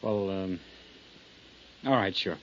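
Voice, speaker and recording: An actor playing a nervous young man in a 1950s radio drama, speaking haltingly and hesitantly, as if caught off guard. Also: low voice. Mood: wary, flustered. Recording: muffled, noisy 1950s radio recording.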